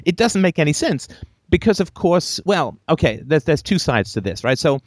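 A man hosting a call-in show, talking in a lively, emphatic way and repeating phrases for effect. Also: a little high, moderate pace, no room sound.

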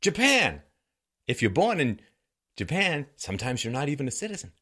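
A man's voice: mid-range voice, huge pitch swings, moderate pace, sharp tone, slightly rough. A man from Ireland and England delivering an opinion monologue in a sarcastic, rhetorical manner with theatrical emphasis. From born in Ireland, grew up in England. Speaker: host of a political commentary show.

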